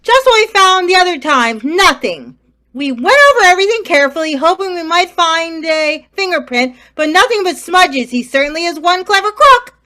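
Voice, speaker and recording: A woman playing an earnest police inspector, brisk and emphatic, in an old-time radio crime drama. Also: extremely high pitch, lively intonation, pushed and bright, exaggerated voice. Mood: earnest, businesslike. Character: earnest police inspector and pleading robbery victim.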